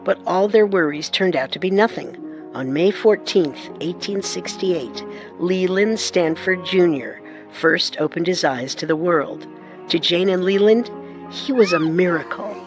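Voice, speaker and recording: A woman co-hosting a historical radio drama, giving measured, scripted documentary narration. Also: on the low side, over music. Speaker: narrator and co-host.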